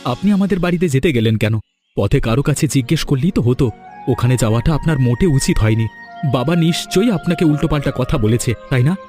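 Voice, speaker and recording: Man acting an earnest young husband, mellow voice. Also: medium pitch, animated, quick pace, faint music behind, close to an everyday voice. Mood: concerned. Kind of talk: Bengali fairy-tale cartoon dubbing.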